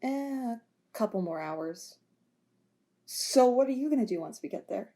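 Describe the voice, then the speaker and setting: A woman's voice: fairly high pitch, expressive, unhurried, warm tone. A woman in an amateur audio drama playing a wry ship security guard who makes dry, playful quips.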